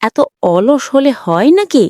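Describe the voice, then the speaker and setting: Woman as nagging high-pitched village wife. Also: animated, measured pace, warm tone, no echo, clean studio sound. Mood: scolding. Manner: nagging, firm. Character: scolding, bossy wife of a lazy farmer. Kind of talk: dubbed cartoon dialogue.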